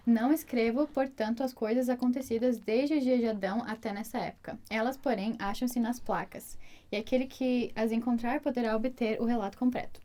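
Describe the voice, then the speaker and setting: A woman reading a scripture passage aloud in a soft, measured way. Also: fairly high pitch, fairly even intonation.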